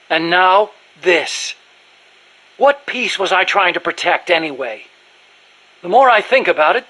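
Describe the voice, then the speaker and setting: An actor playing an earnest, talkative American male nuclear scientist in a video game, explaining things in an animated manner. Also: fairly high pitch, heavily acted, rough recording. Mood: reflective, probing.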